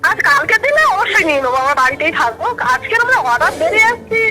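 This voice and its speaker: A woman's voice: very high-pitched, sing-song, rapid-fire. A middle-aged woman on a phone call as a parcel customer, protesting firmly in everyday conversation. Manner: firm, protesting. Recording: phone call under loud music. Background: loud music.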